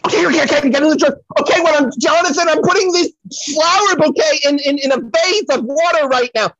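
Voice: high voice, fast, fairly bright tone. A performer playing an apologetic, flustered psychic in a comedic audio play, stammering through excuses, on a slightly dull recording. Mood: loud, hurried. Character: flustered, apologetic psychic to the stars, fibbing brightly.

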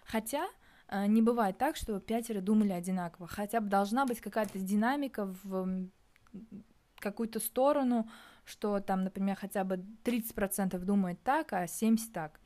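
A young adult woman vlogging in a casual reflective monologue, thinking aloud and pausing often to find her words. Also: medium pitch, warm tone. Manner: thinking aloud, hesitant with many pauses.

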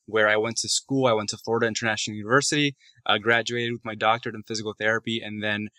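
A man's voice: on the low side, level tone, rapid-fire, pushed and bright, dry recording. A young man in his twenties from Puerto Rico, a physical therapist co-hosting a podcast, speaking politely and earnestly. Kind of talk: casual podcast chat.

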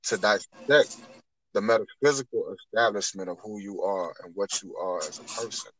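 A man in his twenties with a fairly low voice talks in an earnest, teacherly way about spiritual matters. He often repeats a phrase and rewords it as he works toward his point. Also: moderate pace, fairly bright tone, a little hiss or hum, low-quality recording. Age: 20s. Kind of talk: spiritual talk in conversation.

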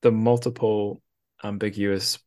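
A man's voice: lowish voice, little pitch movement, a little slow, darkish tone. A man hosting a casual book conversation, speaking informally and piecing his thoughts together as he goes. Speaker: podcast host. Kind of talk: casual conversational literary discussion.

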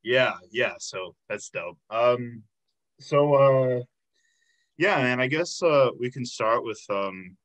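A man's voice: medium pitch, animated, slow, fairly bright tone. A man in his twenties from Illinois hosting an interview podcast in a friendly, chatty way, with plenty of fillers. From Illinois, United States. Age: 20s. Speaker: podcast host.

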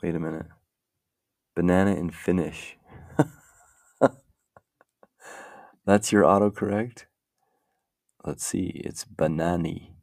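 A man with a very deep, gravelly voice hosts a casual talk, rambling slowly and thinking aloud as he goes. The sound is dry and close. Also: darkish tone, dry recording. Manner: meandering, muses aloud while browsing. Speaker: podcast host.

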